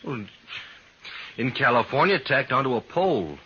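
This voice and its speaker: A rustic Old West prisoner angling for trust. His mid-pitched voice is sharp, with a folksy storytelling manner from vintage radio drama. The recording is dull. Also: sing-song, normal speed, slightly rough. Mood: confiding. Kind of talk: 1950s radio western acting.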